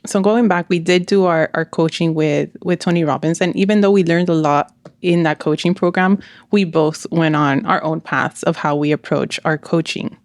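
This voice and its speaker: Low-voiced chatty woman host. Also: steady tone, normal speed, no room sound, studio quality. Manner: chatty, blunt, swears casually. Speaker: podcast host. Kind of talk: casual chat with a close friend.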